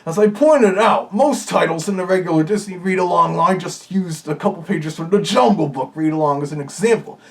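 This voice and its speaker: A man's voice: high voice, a little fast, creaky, hardly any pauses. A man putting on the voice of a male Big Bad Wolf, chatting casually as a reviewer with fannish asides. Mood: chatty.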